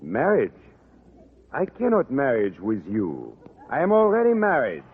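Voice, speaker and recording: An actor playing a courteous, flustered French gentleman with halting foreign phrasing in a 1940s radio comedy. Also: mid-range voice, wildly swooping pitch, breathy edge, heavily acted. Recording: tinny, noisy vintage radio recording.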